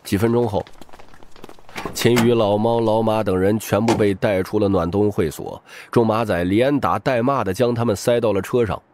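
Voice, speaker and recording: A man's voice: lowish voice, normal speed, noisy background. A man narrating a novel as a dramatic scripted audiobook.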